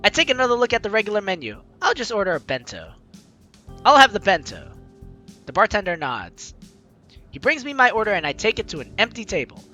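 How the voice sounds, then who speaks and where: A woman's voice: mid-range voice, fairly quick, muffled recording. A woman hosting a casual let's play, reading game text aloud and reacting chattily. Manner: animated, chatty reactions to the story. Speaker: gaming channel host. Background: game music.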